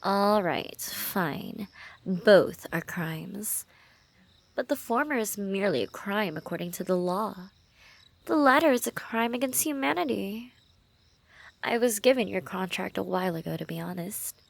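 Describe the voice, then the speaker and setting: Woman performing a female assassin, infatuated, quietly menacing, teasing, half-whispered, vocal fry, intimate ASMR roleplay. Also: medium pitch, animated, measured pace. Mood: playful, confessing. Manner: hushed, often dropping into whispers, teasing.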